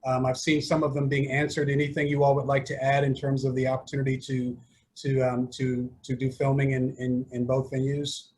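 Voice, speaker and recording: Man, moderator, flat delivery, halting, faint background noise. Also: mid-range voice. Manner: halting, many fillers and restarts. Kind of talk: hosting a panel discussion.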